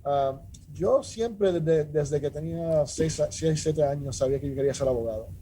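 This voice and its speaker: Earnest man, dark voice. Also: a little high, a little hiss or hum, low-quality recording. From Puerto Rico. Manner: earnest, measured, with frequent restarts and "ah" fillers. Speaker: judge, interview guest. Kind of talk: casual interview talk.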